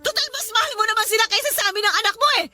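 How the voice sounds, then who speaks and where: A woman's voice: very high voice, racing, over music. A woman playing an exasperated young mother and live-in partner in an emotional Filipino radio drama. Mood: furious. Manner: emotional, scolding.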